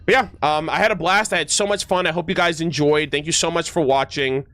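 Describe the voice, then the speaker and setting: Higher-voiced man chatting on a livestream. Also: fairly even intonation, brisk, sharp tone, no room sound, soft background music. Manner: informal, teasing, gamer slang. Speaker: video game streamer. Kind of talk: casual livestream chat with viewers.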